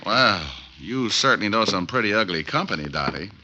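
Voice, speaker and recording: Low-voiced, wisecracking radio detective. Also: male voice, expressive, fairly quick, bright, forceful tone, a little hiss or hum. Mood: cool, threatening. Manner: dry, sardonic, quipping. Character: wisecracking tough male private detective, cool and sardonic. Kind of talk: 1940s-50s radio detective acting with first-person narration. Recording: dull vintage radio recording with music.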